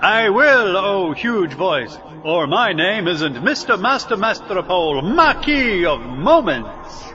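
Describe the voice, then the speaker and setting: Slow, high, pompous villain. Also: male voice, sing-song, highs cut off, music in the background, heavily acted. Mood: grand devotion. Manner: grandiose, drawn-out. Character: pompous, obedient self-styled marquis supervillain. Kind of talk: comedic audio drama acting.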